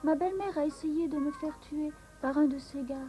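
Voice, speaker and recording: Woman playing a sweet polite cartoon princess, young n high voice, music behind her. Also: normal speed, mellow, close to an everyday voice. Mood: plaintive. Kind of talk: dubbed children's cartoon acting. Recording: voice over clear background music.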